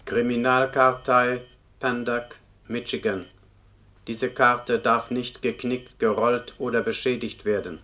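An actor playing a dry, formal official who reads out police records in a radio play, punctuation included. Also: male voice, mid-range voice, slow pace. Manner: monotone, formal, spells out punctuation. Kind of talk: German radio play acting, document read-out. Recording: older radio drama, noticeable background noise.

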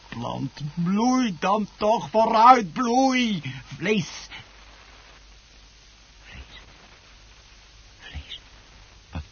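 An actor playing an obsessive, unhinged plant grower in a radio drama, muttering and repeating himself between hushed passages and outbursts. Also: male voice, high-pitched, a little hiss or hum, full character voice. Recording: dull, muffled old radio play recording.

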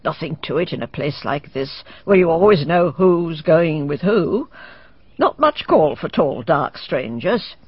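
A woman's voice: low voice, big pitch swings, a little slow, slightly rough, dry recording, heavily acted. A sly old village witch. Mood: cryptic. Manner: cryptic, gossiping, rustic grammar. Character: old village woman who plays witch, sly, knowing. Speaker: village fortune teller. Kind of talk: radio drama acting. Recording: dull dry radio drama recording.

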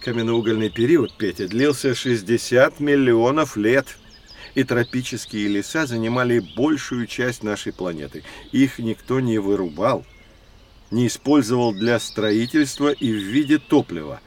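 A man's voice: mid-range voice, a little hiss or hum, close to an everyday voice. A man playing a kindly, learned professor who explains things playfully in an educational audio drama. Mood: explanatory. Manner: explanatory, gently playful, measured. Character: kindly, learned male professor guiding children through prehistory.

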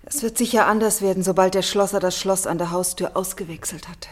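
An actress playing a gentle, fair-minded young daughter of a well-to-do household in a German radio play. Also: female voice, medium pitch, steady tone, brisk, natural voice. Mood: reasoning.

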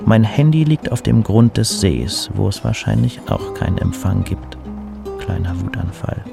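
A burnt-out city poet in an audio drama, low-voiced with a gravelly creak. He is wry and neurotic and speaks in dry, formal, wordy phrases over music. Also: lively intonation, measured pace, exaggerated voice. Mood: gloomy, formal. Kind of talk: audio drama dialogue and letter narration.

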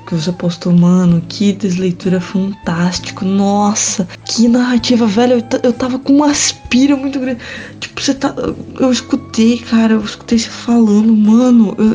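Brazilian female listener, soft mellow mid-pitched voice, loose slangy enthusiasm in a casual voice message. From Paraná, Brazil. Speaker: podcast listener sending audio feedback.

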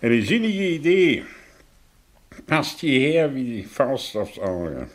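An actor playing a sardonic, shabby professor with a husky, ironic drawl in a radio play. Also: male voice, medium pitch, sing-song, takes it slowly. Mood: sardonic amusement. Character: sardonic, shabby male professor, theatrical and mocking.

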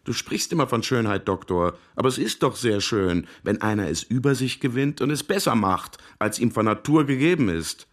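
Radio drama: good-natured, earnest youth male actor, animated intonation, straightforward and insistent delivery. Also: mid-range voice, moderate pace, hardly any pauses, lightly acted. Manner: insistent, plain-spoken. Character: earnest, good-natured young man arguing about merit. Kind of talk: German radio drama acting.

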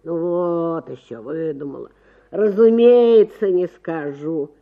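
A woman's voice: lowish voice, slow, phone-quality sound, pitched below the performer's own voice. A woman voicing a doubting, exasperated husband in a story reading, stammering and repeating himself as he grumbles. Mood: grumpy. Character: doubting, mumbling, exasperated middle-class husband.

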